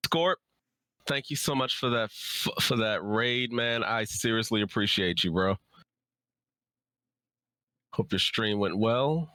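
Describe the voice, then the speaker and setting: A man's voice: lowish voice, slow, pauses often, bright, forceful tone, clear, resonant tone, dry recording. A man hosting a casual livestream, chatting in a playful, informal, bantering way. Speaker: livestream host introducing a guest.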